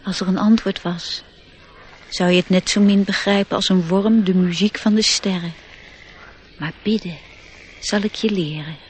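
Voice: mid-range voice, steady tone, normal speed, long pauses, breathy edge, close to an everyday voice. A performer voicing an airy, knowing nature sprite in a radio play, lecturing with disdain for humans. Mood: tutoring, assured.

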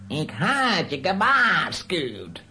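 An actor playing a proud, menacing Italian nobleman villain in a children's audio drama, speaking in a deliberate, theatrical, threatening way. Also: medium pitch, huge pitch swings, bright, forceful tone, faint music behind, heavily acted. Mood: gloating, menacing.

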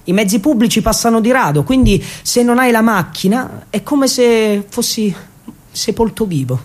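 High-pitched male theatrical storyteller. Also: animated, moderate pace. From Abruzzo, Italy. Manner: irreverent, emphatic, rhetorical questioning. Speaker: solo narrator and actor. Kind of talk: theatrical narration, radio storytelling. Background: music.

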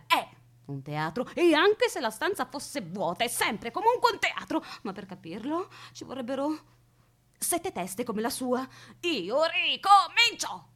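Lilting, high-voiced eccentric woman captor. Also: fairly bright tone, exaggerated voice. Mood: haughty, lecturing. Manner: theatrical, dreamy, lecturing. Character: whimsical, eccentric woman captor, childlike, bossy, unhinged. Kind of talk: stage-style radio drama acting.

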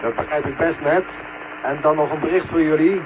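Casting: matter-of-fact mission control officer, 1970 radio drama, thin phone-like transmission with clear static. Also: male voice, a little high, fairly even intonation, a little fast. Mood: calm, matter-of-fact. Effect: radio link filter. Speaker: actor in a radio play. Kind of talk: 1970 Dutch science fiction radio drama acting.

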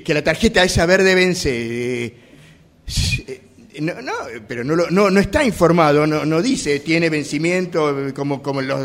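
An actor playing a flustered, evasive driver in a radio theatre exercise, stammering and repeating himself. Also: male voice, a little high. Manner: stammering, repetitive, hesitant. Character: flustered, evasive male driver pleading with police. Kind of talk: improvised radio theatre monologue.

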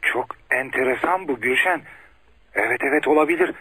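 Concerned doctor in radio play, bright forceful raspy man's voice, measured and theatrical. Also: medium pitch, no room sound. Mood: thoughtful, cautious. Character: concerned, authoritative male doctor. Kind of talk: radio drama acting.

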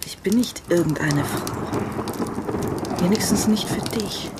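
A woman's voice: medium pitch, slow pace, heavy background noise, lightly acted. An actress playing a yearning upper-class married woman in a radio drama, speaking in an intimate, hesitant, pleading way. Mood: tentative, hopeful.

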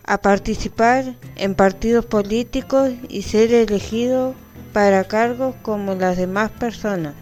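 A woman's voice: medium pitch, measured pace, darkish tone. A woman reading scripted text and narration for an amateur radio programme, with music behind.

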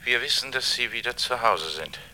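An actor playing a secretive, agitated agent on a telephone in a German radio drama. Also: male voice, medium pitch, animated, moderate pace, pushed and bright. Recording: distant telephone call, faint noise.

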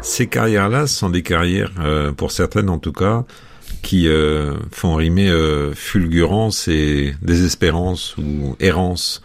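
A man hosting a radio history interview, putting measured questions in literary phrasing. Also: sits low, faint music behind.

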